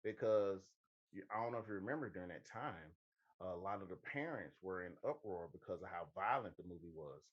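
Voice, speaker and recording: A man's voice: deep voice, expressive, a little fast. A man with an American accent chatting casually as the co-host of a show, on a dull, lo-fi microphone. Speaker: co-host of a movie and video game show. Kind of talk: casual banter and discussion.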